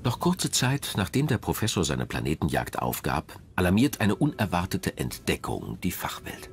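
Low-voiced male documentary narrator. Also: sing-song, moderate pace, a little hiss or hum. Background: background music.

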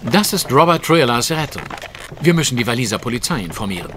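An actor playing a witty, self-assured detective in an audio drama, speaking precisely and with dry irony. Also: male voice, mid-range voice, big pitch swings, fast talker, noisy background, natural voice. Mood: analytical. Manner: precise, dryly ironic. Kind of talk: German audio drama acting.

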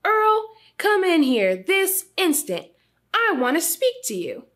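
A woman's voice: extremely high pitch, big pitch swings, slow, a bit bright, voice pushed up, heavily acted. A woman voicing a stern, exasperated mother squirrel in a storybook, stretching words for emphasis.

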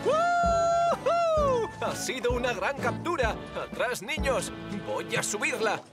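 High-pitched, excitable cartoon fisherman. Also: takes it slowly, full character voice. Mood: triumphant, commanding. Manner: exclamatory, dramatic. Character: eager, proud fisherman father, excitable adult man. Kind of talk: animated children's cartoon dub. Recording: loud music behind the voice.

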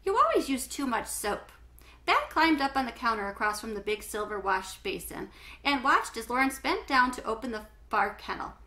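A middle-aged woman reading a children's book aloud with animated, clearly phrased storytelling. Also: a little high, moderate pace. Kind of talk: expressive children's book read-aloud narration. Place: small home living room, indoors.

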